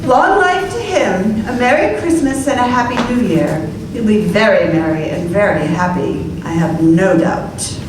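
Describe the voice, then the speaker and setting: A sharp-tongued mother vents her scorn, as a woman plays her with lively, swooping pitch. She is heard from afar on a live stage, the sound echoing, with clear room noise. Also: measured pace, recorded from a distance, close to an everyday voice. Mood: sarcastic. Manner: scornful, sarcastic. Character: indignant mother, sharp-tongued, fond of family.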